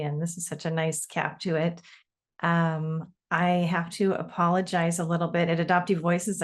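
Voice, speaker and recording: This is a woman with a voice a little lower than most. She is an author at a literary reading, presenting her own work of fiction in her own voice. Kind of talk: reading own novel aloud, with informal introduction.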